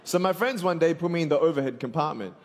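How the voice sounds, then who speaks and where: High-voiced Australian man preaching playfully. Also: fast talker, noisy background. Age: 20s. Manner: playful, joking, calls for audience responses. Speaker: evangelist speaker. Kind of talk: humorous motivational preaching to a live audience. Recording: long hall reverb.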